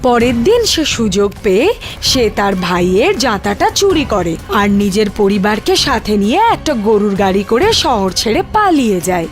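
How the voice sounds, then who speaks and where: A woman's voice: mid-range voice, sing-song, moderate pace, faint music behind. A woman narrating a scripted cartoon fairy tale as its storyteller.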